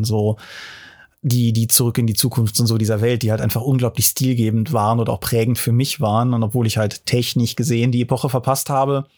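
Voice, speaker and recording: A man's voice: medium pitch, a little mellow. A man in his forties speaking fluently and explaining his points at length in a casual panel discussion. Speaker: podcast panelist.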